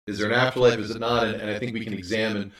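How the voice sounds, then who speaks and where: Heavy room echo surrounds a man who sits some way from the mic. His voice is on the low side, and he talks casually and encouragingly as a guest in an interview. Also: steady tone, very fast, fairly bright tone. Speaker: interview guest, audio drama creator. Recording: distant, very echoey room sound.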